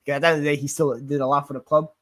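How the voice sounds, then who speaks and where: A man's voice: fairly high pitch, little pitch movement, rapid-fire, a bit bright, no echo. Young man with a Queens accent. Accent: Queens New York. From born in Greece, raised in New York. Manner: chatty, rambling, many fillers and restarts. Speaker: football streamer answering chat. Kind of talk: casual livestream Q&A.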